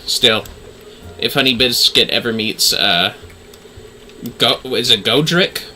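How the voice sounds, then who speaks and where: A man's voice: mid-range voice, takes it slowly, pushed and bright, slight background noise. A man giving casual, rambling livestream game commentary, swearing now and then. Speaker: game streamer.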